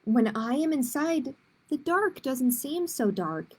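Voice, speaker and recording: A woman's voice: high-pitched, expressive, takes it slowly, darkish tone, breathy edge, full character voice. A woman voicing a small, nervous young storybook dinosaur who tries to be brave, in an earnest, dramatic way.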